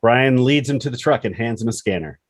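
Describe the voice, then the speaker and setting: A man's voice: mid-range voice, animated, a little fast, dry recording, studio quality. A man reading scripted screenplay action and scene headings as the narrator.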